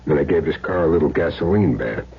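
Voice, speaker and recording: An actor playing a cool, wisecracking hired killer in a radio drama, dry and glib. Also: male voice, a bass voice, expressive, quick pace, slightly rough, exaggerated voice. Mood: cool, businesslike. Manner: dry, glib, evasive answers. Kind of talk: 1970s radio drama acting. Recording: dull vintage radio broadcast.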